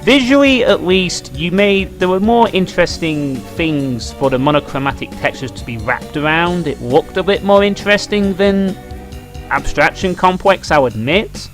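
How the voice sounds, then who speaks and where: A man's voice: sits high, moderate pace, a bit bright. A man giving casual video game commentary, thinking aloud in a musing, dryly critical way. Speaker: let's play host. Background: music behind the voice.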